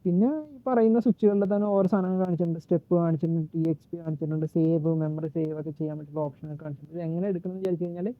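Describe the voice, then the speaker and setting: A man giving a casual, unscripted gadget walkthrough in a halting way, searching for words and correcting himself. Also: on the high side, steady tone, no echo. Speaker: gadget reviewer and demonstrator. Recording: muffled phone-like narrow-band sound.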